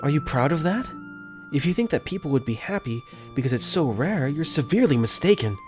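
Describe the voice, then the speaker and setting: A young man voicing an earnest, caring dorm caretaker for a fan dub, gently narrating his own thoughts in first person. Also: a little high, sing-song, a little fast. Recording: faint music under the voice.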